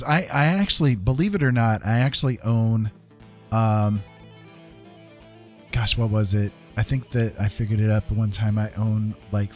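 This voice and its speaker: Low-voiced man chatting on a livestream. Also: darkish tone. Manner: rambling, hesitant, chatty with viewers. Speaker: livestreamer and digital artist. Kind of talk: casual livestream chat. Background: music playing.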